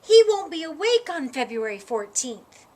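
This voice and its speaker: A woman's voice: sits high, very animated, moderate pace, a little mellow. A woman voicing an outspoken, worried little bunny in a children's storybook, earnest and emphatic.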